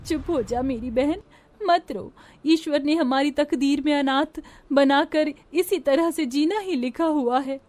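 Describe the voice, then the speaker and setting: Woman voicing a high-voiced, long-suffering orphan girl. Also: unhurried, darkish tone, no room sound. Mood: tearful comforting. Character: caring, long-suffering eldest orphan sister, poor girl.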